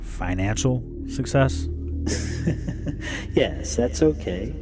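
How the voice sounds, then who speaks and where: A man voicing a soothing, sinister inner-voice entity in a horror story, speaking softly and coaxingly as it poses as a higher self. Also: animated. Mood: seductive, reassuring. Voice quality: soft, breathy, soothing. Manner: slow, coaxing, knowing, with drawn-out reassurance. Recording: music behind the voice.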